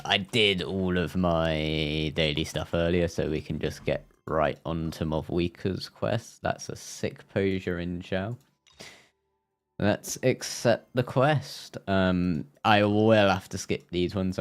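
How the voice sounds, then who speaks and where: A man livestreaming a game, giving casual, rambling, somewhat hesitant commentary to his viewers. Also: deep voice. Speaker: game streamer.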